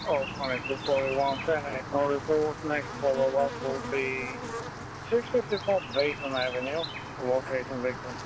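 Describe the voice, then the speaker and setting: A man's voice: mid-range voice, moderate pace. A man radioing in as a police officer, with clipped calls over scanner radio. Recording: police scanner audio, loud buzzing ambient noise. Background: bees buzzing at outdoor hive.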